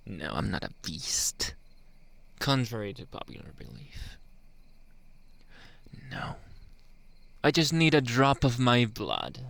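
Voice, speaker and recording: Slow, gravelly man playing a flirty werewolf. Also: mid-range voice, very animated, fairly bright tone, dry recording, full character voice. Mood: seductive, coaxing. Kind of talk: ASMR roleplay monologue.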